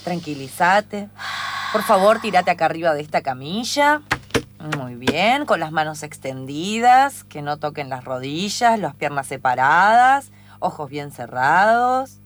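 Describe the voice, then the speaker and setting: A woman's voice: sits low, big pitch swings, measured pace, noisy background, pitched below the performer's own voice. A woman with an Argentine accent playing a vain, money-minded singing healer in improvised radio theatre. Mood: soothing, confident. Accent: Argentine (Rioplatense). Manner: soothing instructions breaking into sung rhymes.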